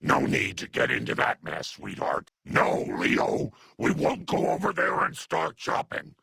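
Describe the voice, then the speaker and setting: A man voicing a doting, scolding, unhinged elderly mutant grandmother in a video game, with a gravelly, husky, breathy voice and cooing pet names. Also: on the high side, wildly swooping pitch, unhurried, bright, forceful tone, no room sound, clean studio sound, full character voice. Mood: doting, chiding. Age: older. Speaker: video game companion character. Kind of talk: video game character acting.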